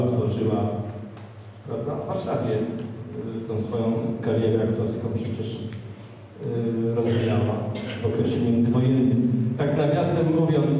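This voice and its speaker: A man's voice: on the high side, warm tone, slight background noise. A middle-aged man giving an informal talk at a school event into a handheld microphone, rambling with many restarts and fillers. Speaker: school project speaker. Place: school hall, indoors. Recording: echoey hall from far off.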